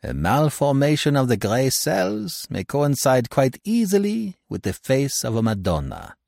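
A man's voice: mid-range voice, huge pitch swings, unhurried, gravelly, no echo, voice pushed up, heavily acted. Older Belgian detective played by a male reader, Belgian French accent, ironic and avuncular, very swooping intonation, close dry storybook sound. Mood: earnest warning. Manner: gentle, ironic, emphatic, avuncular. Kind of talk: audiobook character voice.

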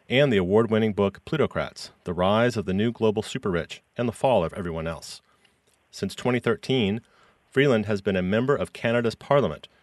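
Deep voiced guy interviewing a guest, a bit far from the mic, dead dry room. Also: dry recording. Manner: reads a scripted introduction, then asks warm, personal questions. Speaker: podcast host.

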